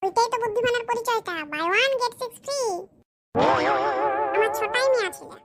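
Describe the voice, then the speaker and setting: A performer voicing a boastful, scheming village man in a cartoon, with a smug, slow, explaining manner. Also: very high-pitched, music in the background. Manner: smug, bragging, explains his schemes slowly. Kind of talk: cartoon comedy voice acting.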